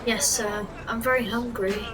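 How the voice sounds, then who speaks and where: A woman's voice: on the high side, fairly even intonation, moderate pace, far from the mic, a crowd in the background, close to an everyday voice, low-quality recording. A woman playing an earnest, wounded young orphan boy in an audio drama, plaintive and polite. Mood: timid, polite.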